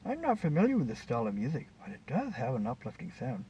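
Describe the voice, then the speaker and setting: A man voicing a young woman passenger, coy, flattering and softly spoken, in dialogue from a short story read aloud. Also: mid-range voice, fast, lo-fi sound. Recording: distant mic with faint background noise.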